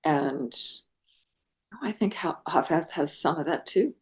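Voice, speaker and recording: A middle-aged woman from the United States speaks in a low voice as a guest scholar. She muses earnestly and with open enthusiasm, pausing often to find her words. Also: darkish tone. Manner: earnest and enthusiastic, musing with many pauses. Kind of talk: reflective interview talk.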